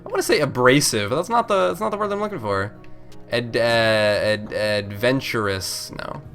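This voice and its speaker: Congested guy doing a casual lets play, mid pitch, rambles and swears, game music going behind him. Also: expressive, normal speed, a bit bright. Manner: rambling, self-interrupting, swears freely. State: congested, stuffed-up nose. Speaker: let's play host. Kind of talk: casual video game commentary. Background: video game music.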